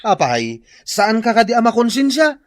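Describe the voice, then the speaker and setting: A man's voice: very high-pitched, sing-song, moderate pace, dry recording. A man with an Ilocano accent playing a lazy, self-pitying husband in a radio drama. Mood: indignant. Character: lazy, whining, self-pitying husband who shirks work. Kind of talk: Filipino radio drama acting.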